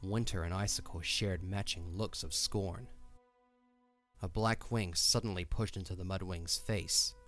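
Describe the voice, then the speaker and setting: Casting: male narrator, low voice, scripted fantasy storytelling over faint music. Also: fairly even intonation, measured pace, a bit bright.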